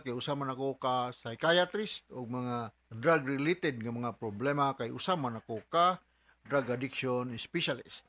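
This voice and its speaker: A man's voice: mid-range voice, fairly bright tone. A man with a Cebuano accent presenting a radio advice program as its doctor host, explaining point by point.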